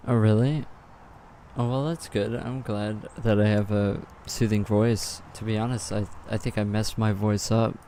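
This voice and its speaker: A man in his twenties with a gravelly smoker voice, chatting casually on a live coding stream and answering viewers between compiles. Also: on the low side, steady tone, a little slow, dark tone, slight background noise. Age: 20s. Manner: muttering, distracted, answers chat questions. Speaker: game engine developer streaming.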